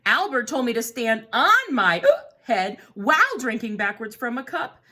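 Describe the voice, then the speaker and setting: A woman's voice: fairly high pitch, very animated, moderate pace, pushed and bright, husky, voice pushed up, full character voice. A woman voicing a polite, fussy male penguin who talks chattily with lots of hesitant fillers. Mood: flustered.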